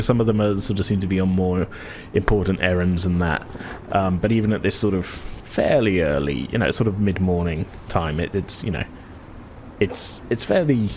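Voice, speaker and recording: A man's voice: deep voice, a little mellow, slight background noise. A man running a tabletop game as game master, narrating casually in a rambling, self-correcting way.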